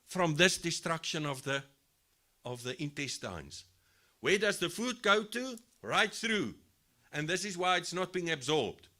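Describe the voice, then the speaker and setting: A man with a South African accent giving a live expo lesson on livestock health to farmers, explaining step by step and often restarting his phrases. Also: on the high side. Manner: says he has a loud voice. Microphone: handheld mic, later speaks without it.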